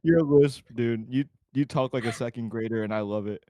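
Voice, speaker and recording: A man's voice: mid-range voice, normal speed, warm tone, dry recording, clean studio sound. A man hosting a casual podcast, teasing his co-host and laughing a lot.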